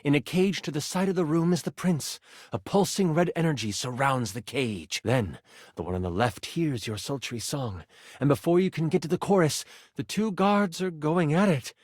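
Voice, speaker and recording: A man's voice: on the high side, normal speed, no room sound, clean studio sound, natural voice. A man voicing a game master who narrates a fantasy quest in a video game with theatrical, dramatic delivery and playful asides. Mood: playful, excited.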